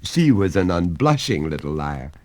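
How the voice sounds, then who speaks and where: A man's voice: low-pitched, very animated, normal speed, a little mellow, no echo, voice pushed up. A man voicing a prim mother who reproves her son in a disapproving tone. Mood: reproving.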